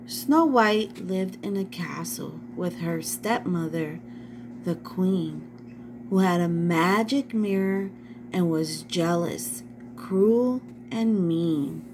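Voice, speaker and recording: A woman's voice: on the low side, takes it slowly, noisy background. A woman reading a story aloud to children, addressing her young listeners directly like a teacher.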